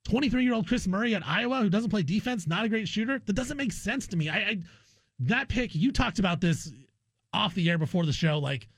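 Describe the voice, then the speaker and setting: A man's voice: high voice, animated, brisk, fairly bright tone, no room sound. An American man co-hosting a sports talk show, giving his opinions casually and emphatically. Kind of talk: casual sports radio discussion.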